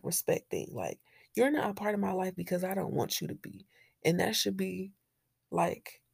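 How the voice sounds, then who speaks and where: A woman's voice: medium pitch, gravelly, darkish tone, husky, no room sound, studio quality. A young woman hosting a solo podcast, talking in a candid, blunt way with plenty of swearing and laughter. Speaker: podcast host. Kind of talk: casual solo podcast monologue.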